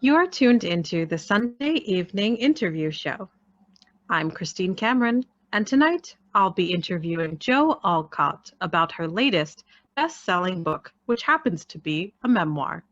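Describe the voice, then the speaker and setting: A woman's voice: fairly low pitch, sing-song, moderate pace, dull audio. A woman playing a polite, formal professional in a radio play.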